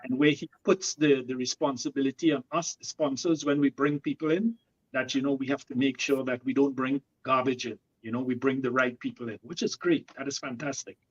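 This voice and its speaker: A man's voice: mid-range voice, brisk. Man from Trinidad, muffled line. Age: 50s. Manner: relaxed, hesitant with many fillers. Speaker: guest. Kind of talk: casual chat.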